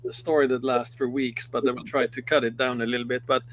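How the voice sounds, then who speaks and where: A middle-aged man from Sweden with a Swedish accent, a company head recounting his life in a live interview, halting and full of fillers and restarts. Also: mid-range voice, level tone, a bit bright. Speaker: guest, company CEO. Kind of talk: live interview, personal story.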